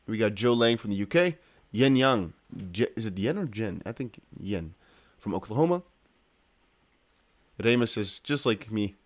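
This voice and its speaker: A man's voice: fairly low pitch, animated, unhurried, long pauses. Dutch guy doing crypto livestream, accented english, chatty and emphatic, voice goes up and down a lot. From the Netherlands. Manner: chatty, emphatic, repeats points, addresses viewers directly. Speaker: crypto news streamer. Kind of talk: casual livestream commentary.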